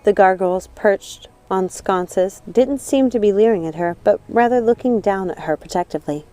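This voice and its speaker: A woman reading scripted storybook narration in a measured, expressive manner. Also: mid-range voice, soft background music. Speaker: audiobook narrator.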